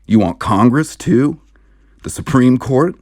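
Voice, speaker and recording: A man voicing a gruff, bullheaded American small-town sheriff in an audiobook, blustering and yelling. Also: medium pitch, animated, measured pace, no echo, studio quality, pitched above the performer's own voice, natural voice. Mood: indignant. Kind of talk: audiobook character voice.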